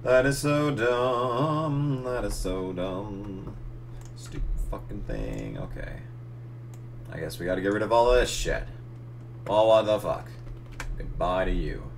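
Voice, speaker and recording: A young man losing his voice, casually narrating a photo edit, muttering and swearing at the software. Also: lowish voice, slow, vocal fry, a little hiss or hum. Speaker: gaming channel host. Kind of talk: casual tutorial commentary while editing.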